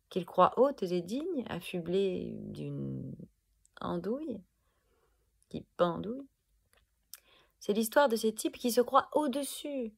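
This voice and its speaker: A woman reciting spoken-word poetry with deliberate, dramatic phrasing and a breathy, husky voice. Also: fairly low pitch. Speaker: poet performing own protest poem.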